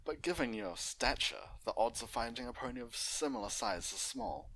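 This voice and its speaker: A man voicing a polite female pony doctor from a story, speaking in a measured, formal, reassuring way. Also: medium pitch, animated, vocal fry, a bit bright, slight background noise, pitched above the performer's own voice, poor audio. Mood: professional, reassuring.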